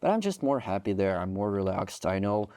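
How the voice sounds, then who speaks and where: A young man in his twenties with a Ukrainian accent, talking English as an interview guest. His voice is dark and mellow, recorded dry and close, and he rambles along with plenty of "like" fillers and restarts. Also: lowish voice, sing-song, fairly quick, no room sound. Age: 20s. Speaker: soldier guest. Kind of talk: interview conversation.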